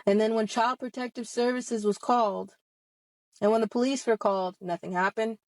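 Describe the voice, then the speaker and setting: A woman's voice: a little high, fairly even intonation, moderate pace, no echo, low-quality recording. A woman of about thirty giving impassioned personal testimony straight to her online audience, emphatic and confrontational, leaning on rhetorical questions. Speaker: online video host speaking about personal experience.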